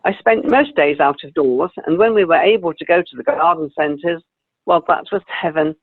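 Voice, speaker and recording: A woman's voice: fairly low pitch, moderate pace, slightly rough. A woman playing a sweet, chatty old lady in a comic radio play, genteel and a little wistful. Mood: chatty, pleased. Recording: tinny narrow-band video call audio.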